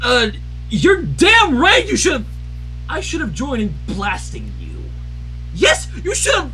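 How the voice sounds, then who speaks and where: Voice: sits high, wildly swooping pitch, takes it slowly, pushed and bright, soft background music, voice pushed up, full character voice, low-quality recording. A reader voicing an angry, whiny, self-important young male unicorn in a dramatic story reading. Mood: indignant. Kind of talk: dramatic fan fiction reading.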